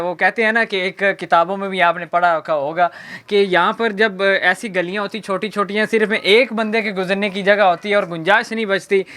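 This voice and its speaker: A man with a Pakistani accent presenting a walk-through documentary on location, explaining in a halting way. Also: sits high, bright, forceful tone, dry recording. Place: on location in an old fort's streets.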